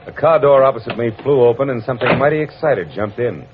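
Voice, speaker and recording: An actor playing a wry, worldly private detective with an American accent, narrating and talking in clipped hard-boiled radio noir style. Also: male voice, mid-range voice, quick pace, noisy background, lightly acted. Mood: world-weary. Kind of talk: 1940s-50s radio noir acting and first-person narration. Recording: muffled vintage radio recording with music.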